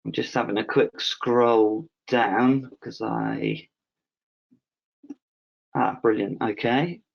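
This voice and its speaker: A man hosting an online Q&A with a panel, reading out viewers' questions in a relaxed way with lots of "um"s. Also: lowish voice, slow pace, gravelly. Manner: relaxed, filler-heavy, reads out questions. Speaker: webinar host. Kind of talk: casual Q&A moderating. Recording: dull lo-fi call audio.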